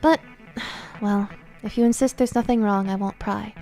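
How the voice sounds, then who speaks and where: A woman voicing a gentle, patient female teacher who speaks softly and coaxingly. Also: mid-range voice, fairly even intonation, moderate pace, soft background music, lightly acted. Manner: soft, coaxing.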